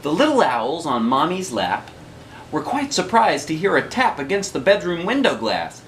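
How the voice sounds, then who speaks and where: A man's voice: a little high, huge pitch swings, normal speed, fairly bright tone, a little hiss or hum. A man reading a picture book aloud as a dad would, with dramatic rhythmic phrasing and casual asides to children.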